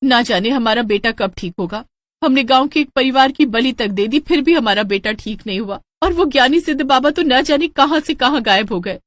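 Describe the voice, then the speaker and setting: Woman acting a lamenting village wife, melodramatic and lively. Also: high voice, moderate pace, no room sound. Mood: worried, complaining. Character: village headman's anxious, grieving wife and mother. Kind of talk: Hindi cartoon melodrama.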